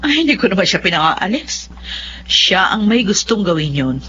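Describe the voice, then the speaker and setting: A woman's voice: mid-range voice, sing-song, moderate pace, sharp tone, slight background noise. An actress playing a stubborn, lovestruck middle-aged widowed mother in an emotive, insistent Filipino radio drama style. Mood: defensive. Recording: dull lo-fi radio drama sound.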